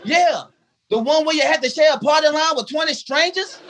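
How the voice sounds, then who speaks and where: A man's voice: very high-pitched, brisk, a bit bright, a little hiss or hum. A man playing a loyal but cheeky household valet in 1950s radio comedy style, with dry, sassy punchlines. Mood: wry.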